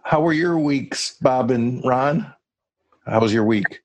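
A man joking casually in a group podcast chat, trading deadpan quips. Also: medium pitch, takes it slowly, dull audio.

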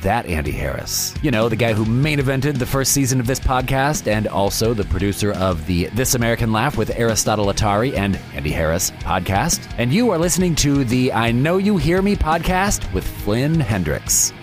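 A man with a medium-pitched voice delivers a scripted promo with playful boastfulness. Guitar music plays clearly behind him. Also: very animated, normal speed, hardly any pauses. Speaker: podcast guest giving show promo.

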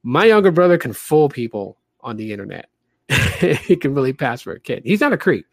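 A man in his late thirties with a light, youthful voice talks casually as a podcast host. He rambles along with fillers and restarts, his pitch sitting mid-range. The sound is dry and close, with no room around it. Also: big pitch swings, brisk, creaky, no echo. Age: late 30s. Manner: rambling, chatty, many fillers. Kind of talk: casual livestream podcast talk.

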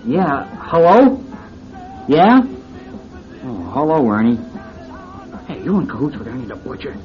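Brash, excitable club hustler. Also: male voice, mid-range voice, unhurried, over music. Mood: anxious, pleading. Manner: slangy, excitable hustler talk. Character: brash, wheedling male owner of seedy go-go club. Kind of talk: classic radio drama acting. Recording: muffled old radio drama recording.

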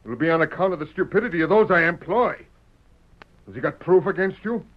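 Smug bandit boss in an old radio western, sardonic and bossy, muffled sound. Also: male voice, high-pitched, lively intonation, brisk. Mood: scornful. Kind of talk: old-time radio western villain acting. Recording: dull vintage radio recording.

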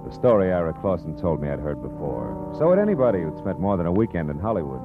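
A wry hard-boiled private eye. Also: male voice, sits low, sing-song, fast talker. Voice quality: dry, clipped and wisecracking. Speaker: detective narrator. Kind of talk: 1940s radio acting. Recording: tinny old radio broadcast with music.

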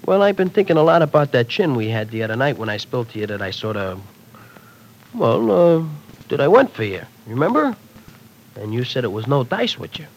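A man's voice: mid-range voice, very animated, a little fast, slight background noise, rough recording. An actor playing a slangy, pushy, streetwise young man in an old radio drama. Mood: wheedling. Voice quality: slangy tough-guy speech. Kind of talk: 1940s radio acting.

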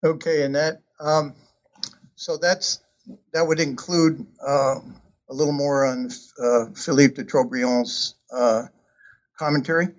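A man being interviewed about his book, answering slowly with many pauses and fillers. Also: a little high, fairly even intonation. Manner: hesitant, many ums and uhs, reads quotes aloud. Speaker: author guest. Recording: dull lo-fi sound.

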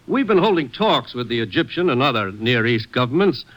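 Government commissioner: medium pitch, formal, authoritative briefing voice, vintage radio drama. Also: male voice, big pitch swings, fairly quick, fairly bright tone, low-quality recording. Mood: grave. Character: sober, authoritative male government intelligence commissioner. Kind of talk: old-time radio drama acting. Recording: vintage radio broadcast recording.

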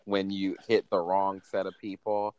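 Animated man acting out his stories. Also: low voice, a little slow, clear, resonant tone, low-quality recording. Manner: animated storyteller acting out quotes, heavy filler use. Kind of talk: casual chat.

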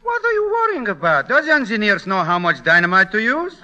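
An actor playing a slick New York landlord in a classic radio comedy, wheedling and brushing off every complaint. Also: male voice, sits high, sing-song, a little fast, pushed and bright, highs cut off, slight background noise, full character voice. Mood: breezy dismissal. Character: slick, glib male New York landlord renting a shabby apartment.

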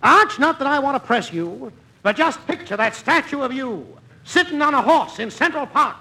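An actor playing a grandiose, wheedling schemer in 1940s radio comedy, coaxing theatrically with little stammers. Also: male voice, extremely high pitch, lively intonation, moderate pace, pushed and bright, full character voice, low-quality recording. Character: fast-talking, wheedling, grandiose male swindler friend. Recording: vintage radio recording with faint noise.

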